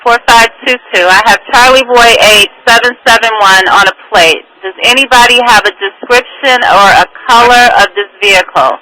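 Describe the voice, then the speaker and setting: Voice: medium pitch, normal speed, hardly any pauses, sharp tone. A police radio dispatcher speaking in a clipped, procedural way and repeating details over a two-way radio. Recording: noisy police scanner radio.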